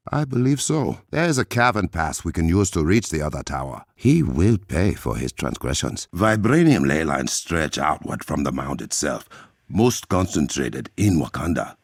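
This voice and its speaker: An actor playing a regal, commanding warrior king in a video game, with an African accent, measured formal diction and a gravelly, husky voice. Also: fairly low pitch, no echo, studio quality, exaggerated voice. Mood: urgent, shouting. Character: regal, commanding warrior king, stern and proud, adult man.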